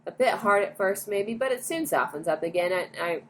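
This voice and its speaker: A woman voicing a loud, brash, boastful young girl who chatters away as a storybook character. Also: medium pitch, animated, normal speed, natural voice. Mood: proud, boastful. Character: loud, boastful, fast-talking gum-chewing young girl. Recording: clear background noise.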